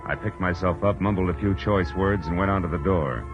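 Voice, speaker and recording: An American actor playing a wry, world-weary private detective in clipped 1940s radio style. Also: male voice, low-pitched, a little fast, natural voice. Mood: wry narration. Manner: wry, clipped, hardboiled delivery. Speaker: lead actor and narrator. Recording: dull old radio recording, music behind.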